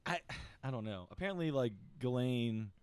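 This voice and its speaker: A man's voice: medium pitch, animated, normal speed, a little mellow, smooth, no echo. A man in a casual podcast chat who keeps asking curious questions, swears freely and laughs easily.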